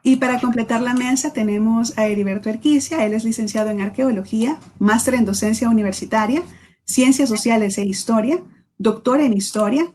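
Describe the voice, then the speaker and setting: A woman, presenting an event and reading formal speaker introductions in a measured way, with small self-corrections. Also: a little high, a little mellow, slight background noise.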